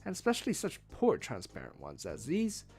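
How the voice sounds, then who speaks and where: A man reading a novel aloud as a streamed read-along, with expressive, emphatic phrasing. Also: on the high side, a little fast, soft background music. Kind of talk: read-along audiobook narration.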